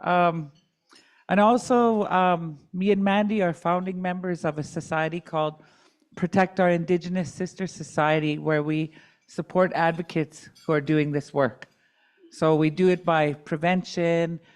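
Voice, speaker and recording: A woman's voice: on the low side, fairly even intonation, unhurried. A middle-aged woman advocate giving candid personal testimony at a community dialogue, with joking asides.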